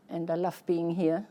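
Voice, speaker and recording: An older woman from Germany, an artist, speaking simply and unhurriedly in a documentary interview. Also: lowish voice.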